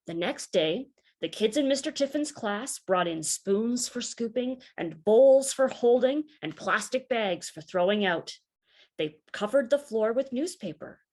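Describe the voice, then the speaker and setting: Slow, expressive woman reading a children's storybook. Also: on the high side. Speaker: storybook reader. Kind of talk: children's picture book read-aloud.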